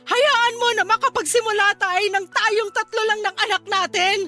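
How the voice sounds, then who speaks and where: A young Filipino wife and mother in a radio drama. Her voice is extremely high and bright, with a tense, pleading edge of resentment. Faint music sits underneath.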